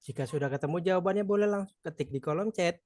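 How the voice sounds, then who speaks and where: A man's voice: high voice, fast talker, darkish tone, no room sound, studio quality. A man from Madura with a Madurese accent, tutoring a live online exam practice session, chatty and encouraging, joking with participants.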